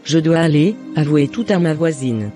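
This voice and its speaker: Man voicing a high-pitched jealous neighbour woman. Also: animated, normal speed, mellow, faint music behind, natural voice. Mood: astonished, resigned. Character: jealous, scheming woman neighbour turned remorseful.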